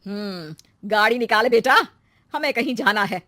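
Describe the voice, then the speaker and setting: Lady doing bossy nosy older mom, loud n emphatic, dry recording, story voice. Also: medium pitch, moderate pace, dry recording, natural voice. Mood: commanding. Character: teasing, nosy older mother, brisk and commanding.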